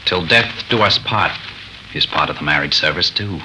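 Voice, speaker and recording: A man's voice: low voice, moderate pace, sharp tone. An actor playing a brave, protective, lovelorn frontier man with folksy Western phrasing in an old radio melodrama. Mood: bitter longing. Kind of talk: 1930s radio melodrama acting. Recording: noisy 1930s radio recording.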